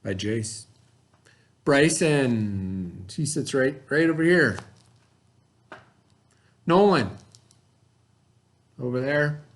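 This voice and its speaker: A man's voice: medium pitch, expressive, extremely slow, pauses often. A male elementary school teacher talking informally to young pupils in a simple, rambling way and leading a read-aloud lesson.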